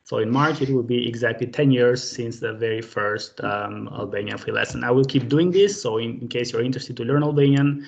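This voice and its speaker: A man's voice: medium pitch, a little fast, vocal fry, few pauses. A man leading a live online group language lesson over a video call, patient and friendly, with many fillers. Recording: dull lo-fi call audio.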